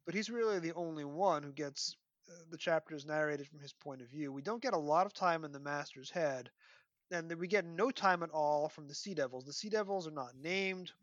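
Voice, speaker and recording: A man talks as a podcast host in a slightly high register. He is conversational and analytical, eager about the finer details. The recording is very dry and a little dull. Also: brisk, fairly bright tone, dry recording. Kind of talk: conversational book discussion podcast.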